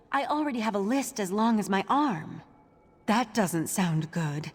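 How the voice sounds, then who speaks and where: Dry-witted elven aide for fantasy game. Raspy edge, businesslike, dutiful, wry; long reverb on the voice. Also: female voice, mid-range voice. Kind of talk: scripted fantasy game dialogue.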